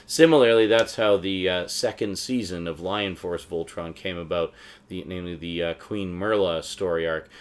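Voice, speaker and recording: A man's voice: deep voice, fairly even intonation, normal speed, clean tone. A man giving casual, unscripted show-and-tell commentary as a vlogger, rambling along with a fan's enthusiasm. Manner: rambling, enthusiastic fan talk. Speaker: vlogger, collector showing DVD purchases.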